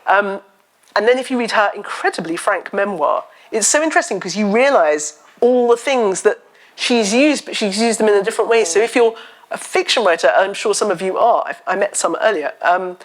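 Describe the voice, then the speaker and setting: A woman's voice: medium pitch, fairly quick. Chatty woman novelist on stage. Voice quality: loud voice. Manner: chatty, animated storytelling with self-deprecating humour. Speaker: novelist guest. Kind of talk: live on-stage literary chat. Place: festival stage before an audience. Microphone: stage microphone.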